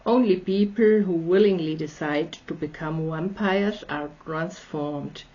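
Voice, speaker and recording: A woman's voice: sits low, measured pace, dark tone. A woman with an Austrian accent voicing a polite, hungry male vampire in his prime, in a courteous, formal manner. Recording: slightly dull lo-fi audio.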